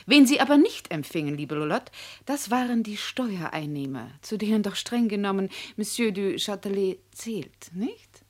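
A woman's voice: medium pitch, expressive, normal speed, a little mellow, close to an everyday voice. An actress playing a haughty, worldly provincial noblewoman in a German radio drama, speaking with pointed, aristocratic diction. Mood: pointed, teasing.

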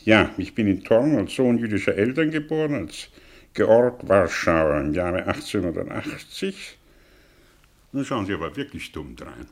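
An actor playing an older, sardonic, brilliant fallen intellectual in a German radio drama, speaking theatrically with rhetorical pauses and swells. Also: male voice, fairly low pitch, expressive. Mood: confessional, intense. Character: aging, theatrical, sardonic man, brilliant fallen intellectual confessing his past.

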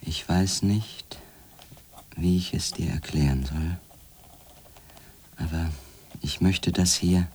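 Radio drama journalist: brooding loner, bottomless low register, slow halting delivery, noisy recording. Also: male voice, fairly even intonation, a little mellow. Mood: brooding, pleading. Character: obsessed, solitary male journalist writing to his wife. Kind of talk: radio drama letter monologue.

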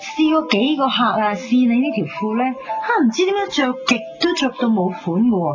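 A woman playing a brash, sharp-tongued young saleswoman in a Hong Kong Cantonese radio drama, chatty, slangy and teasing. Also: a little high, animated, a little fast, close to an everyday voice. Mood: pushy flattery. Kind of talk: 1990s radio drama acting. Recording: muffled 1990s radio, music behind. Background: music.

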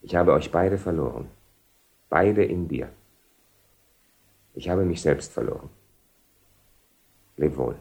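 An actor playing a proud, defiant young bridegroom in a radio drama, earnest and declamatory. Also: male voice, rumbling low, expressive, slow pace, vocal fry, long pauses, a little mellow, full character voice. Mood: sorrowful farewell. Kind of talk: 1970 German radio drama acting.

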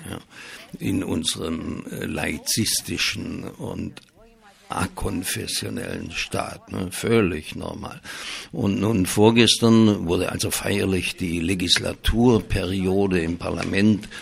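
A man hosting a radio show with casual political commentary, speaking in a wry, ironic way with a gravelly, husky voice. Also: low voice, steady tone, slow.

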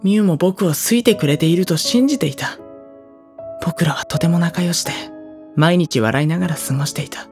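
A woman voicing a gentle, introspective, anguished teenage schoolboy in a Japanese audio drama, speaking softly and hesitantly as he narrates. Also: lowish voice, moderate pace, faint music behind, close to an everyday voice. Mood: sorrowful, brooding. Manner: soft-spoken, hesitant, brooding. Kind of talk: Japanese audio drama acting with first-person monologue.

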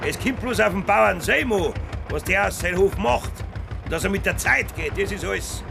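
Gravelly, high-voiced Bavarian farmer. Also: male voice, animated, moderate pace, background noise, heavily acted. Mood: boastful. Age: middle-aged. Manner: emphatic, blustering dialect speech. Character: stubborn, boastful Bavarian farmer and domineering father. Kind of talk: naturalistic German radio play acting.

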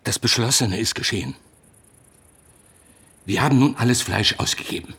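An actor playing a grim, worn council member in a radio drama, with a gravelly, husky voice. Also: male voice, medium pitch, normal speed, a bit bright. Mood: grim, resigned.

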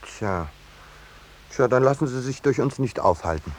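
An actor playing a peace-loving landscape painter, courtly and dryly ironic, in a 1950s radio play. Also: male voice, mid-range voice, lively intonation, moderate pace, close to an everyday voice. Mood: urbane irony. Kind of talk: 1950s German radio play acting with narration. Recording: noisy 1950s radio drama recording.

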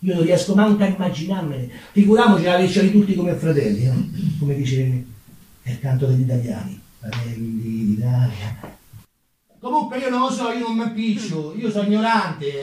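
A man's voice: high-pitched, darkish tone. Echoey, folksy Roman umbrella mender, acted by a man. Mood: musing. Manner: folksy, conversational, rhetorical asides to the audience. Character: chatty, self-deprecating working-class Roman umbrella mender of 1848. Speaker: stage actor. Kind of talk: theatrical monologue in dialect. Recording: very echoey room, distant microphone.

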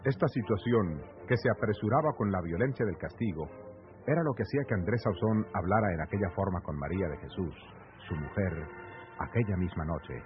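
Low-voiced male radio narrator. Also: animated, brisk. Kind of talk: solemn scripted radio drama narration. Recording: dull vintage radio, loud music behind. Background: loud music.

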